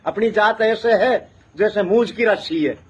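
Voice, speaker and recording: High-pitched, husky rural storyteller man. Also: measured pace, dull audio. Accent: rural Haryana-Rajasthan. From North India. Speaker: traditional community genealogist and storyteller. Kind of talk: oral history storytelling.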